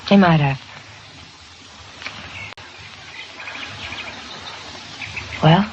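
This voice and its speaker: An actress playing a bleak, resigned American frontier woman in an old radio western, speaking with flat fatalism. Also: sits low, fairly quick, lightly acted. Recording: muffled old radio recording, clear hiss.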